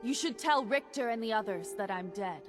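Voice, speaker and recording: An actress playing a fervent exiled cultist woman in a video game, preaching nothingness with solemn zeal and dramatic pauses. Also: fairly high pitch, animated, close to an everyday voice. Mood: solemn resolve. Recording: music behind the voice.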